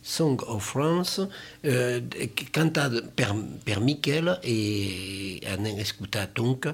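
A man's voice: mid-range voice, animated, drawn-out words, gravelly, slightly rough. A man hosting a radio talk show, introducing a song in a loose, hesitant way. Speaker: radio host.

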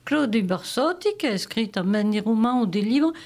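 A woman co-presenting a talk show. She reads out writers and their works in turn, filling the gaps with short hesitations. Her voice is on the high side. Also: expressive, normal speed, a little mellow. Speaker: radio co-presenter. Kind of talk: presenting a list of regional writers.

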